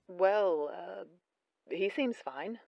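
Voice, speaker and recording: A man's voice: sits high, expressive, extremely slow, creaky, dry recording, dull audio, exaggerated voice. A worried man talking through an earpiece, put on by a female narrator. He speaks in short, uneasy remarks that sound a little distant. The recording is otherwise dry. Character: male producer on an earpiece, worried.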